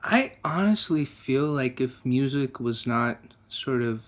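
Young guy in an interview, mid-pitched, thinks out loud, restarts a lot. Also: expressive, slow. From United States. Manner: thoughtful, with frequent restarts and fillers. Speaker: guest musician. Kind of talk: casual interview.

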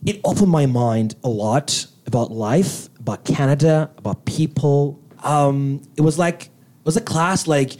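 A young man from Turkey with a Turkish accent, a guest in a casual interview, talking in an excitable, animated way with a little nervousness and frequent laughs. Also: on the high side, unhurried.

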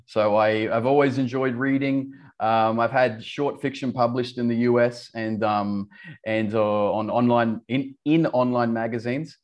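A man's voice: mid-range voice, fairly even intonation, a little slow. A man with a light Australian accent that sometimes sounds English, a teacher chatting as a podcast guest, full of restarts and fillers. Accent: light Australian, partly English-sounding. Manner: chatty, frequent restarts and fillers, laughs easily. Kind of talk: casual podcast interview.

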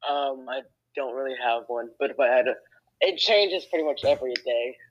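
A man's voice: mid-range voice, a little fast, a bit bright. A young man chatting on a casual podcast, boastful and joking as he rambles. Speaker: podcast guest. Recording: dull lo-fi sound.

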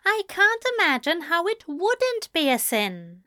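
A woman's voice: very high voice, huge pitch swings, a little slow, bright, forceful tone, no room sound, studio quality, pitched above the performer's own voice, heavily acted. A woman voicing a prim, snippy male angel who is easily flustered and scandalised, in a fan fiction story reading. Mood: flustered, aghast. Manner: prissy, snippy, evasive.

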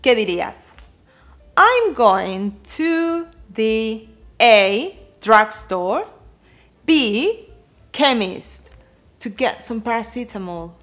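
Animated higher-pitched woman presenting a quiz. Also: very slow, long pauses. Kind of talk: scripted presenter narration, quiz reading.

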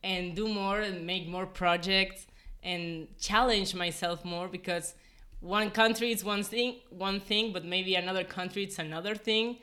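Bright-voiced young woman, earnest and informal. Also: medium pitch, steady tone, measured pace. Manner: earnest, informal, frequent "like" and "you know". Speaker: podcast guest, former volunteer. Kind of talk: casual personal storytelling in an interview.

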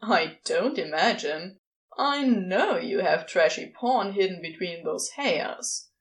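A woman's voice: on the low side, expressive, takes it slowly, low-quality recording. A woman voicing a sardonic, casual male celestial being with offhand, clipped phrasing in a fan fiction audiobook. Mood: teasing.

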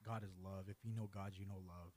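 A man speaking as a podcast guest, giving an earnest and reflective personal testimony. His voice sits low and has a mellow, warm tone. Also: steady tone, quick pace. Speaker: interview guest.

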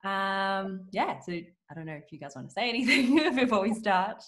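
A woman's voice: medium pitch, normal speed. A woman hosting a podcast interview, chatting casually with many fillers and little laughs. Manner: warm-hearted, chatty, with frequent fillers and laughs. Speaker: podcast host.

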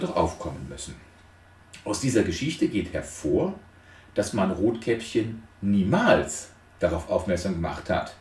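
A man giving an explanatory lecture, laying out numbered points emphatically and posing rhetorical questions. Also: fairly low pitch. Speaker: lecturer on transactional analysis. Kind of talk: explanatory lecture retelling a fairy tale. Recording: echoey room, distant microphone.

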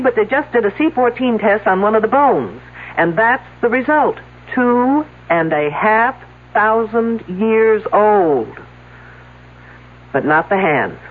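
An actress playing a brisk, probing lady police detective in a 1970s radio drama, with pointed, insistent questions. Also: medium pitch, husky. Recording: narrow, tinny old radio broadcast.

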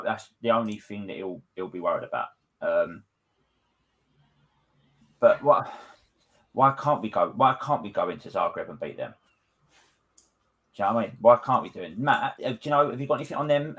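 A man's voice: on the low side, fairly bright tone. A man hosting a casual football discussion, speaking emphatically and repeating his phrases for effect. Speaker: podcast host leading discussion.

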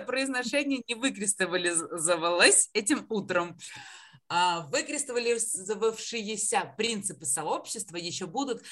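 A woman's voice: on the high side, fairly bright tone. A young woman guest on a radio chat show, playful and self-mocking, often breaking into laughter as she stumbles over tongue twisters.

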